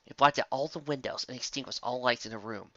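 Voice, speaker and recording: A man's voice: medium pitch, quick pace, a bit bright, no echo, lo-fi sound. A man narrating a fantasy story in a dramatic, scripted audiobook style.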